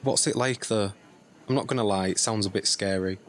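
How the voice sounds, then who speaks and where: An actor playing a curious, concerned young nephew in an audio drama, talking in an inquisitive, sympathetic way. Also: male voice, fairly low pitch, brisk, lightly acted. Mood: hesitant, questioning. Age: young adult. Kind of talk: scripted audio drama dialogue.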